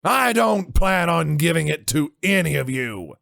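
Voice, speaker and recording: A swooping, blustering evil doctor acted by a man for a fan audio drama. He is theatrical and exclamatory, pitched rather high, with music behind. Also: very animated, measured pace, gravelly, fairly bright tone, dry recording, studio quality, full character voice. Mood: defiant. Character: blustering, gleeful evil mad scientist, middle-aged man.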